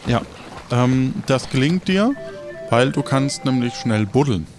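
A man improvising as game master in an adventure game, talking in a casual, colloquial and halting way. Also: medium pitch, animated, a little mellow, soft background music.

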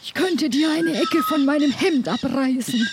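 A man voicing a slim, timid and helpful crewmate in a thin, squeaky game voice. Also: unhurried, noisy background. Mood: kind.

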